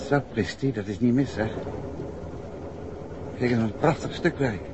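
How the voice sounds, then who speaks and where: An actor playing a calm, wry, polite amateur detective out with his wife in a 1960s Dutch radio drama. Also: medium pitch, a little slow, lightly acted. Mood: wry, unhurried. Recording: dull, noisy 1960s radio recording.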